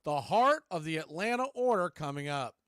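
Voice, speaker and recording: A man's voice: on the high side, animated, normal speed, noisy background. An American man calling live baseball play-by-play as an announcer.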